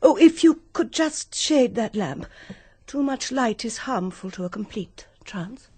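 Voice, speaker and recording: Languid, husky spirit medium. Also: female voice, fairly high pitch, lively intonation, a little slow, warm tone. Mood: languid, settled. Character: delicate, affected woman spirit medium. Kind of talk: vintage radio drama acting.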